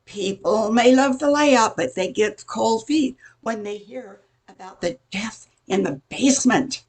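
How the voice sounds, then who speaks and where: An older woman playing a middle-aged busybody neighbor in an amateur radio play, chatty, insinuating and judgmental, with a husky, creaky voice. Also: a little high, measured pace, darkish tone. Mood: gossipy, insinuating.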